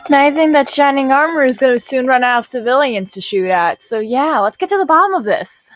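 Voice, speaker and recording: A woman giving casual live reaction commentary as host, animated and flippant. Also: high voice, brisk, no room sound.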